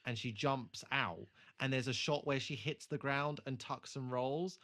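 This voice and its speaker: A man's voice: medium pitch, measured pace, fairly bright tone. A man co-hosting a podcast, chatting casually and critiquing bluntly with plenty of swearing. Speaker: podcast co-host. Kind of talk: casual chat, TV episode review.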